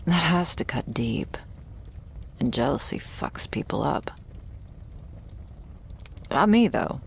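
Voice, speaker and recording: Wry, guarded young female thrall, played by a woman for vampire ASMR roleplay. Deep fry, unhurried delivery, sardonic and breathy at the edges. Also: very animated, heavily acted. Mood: wry, cautioning. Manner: dry, sardonic, hesitant musing.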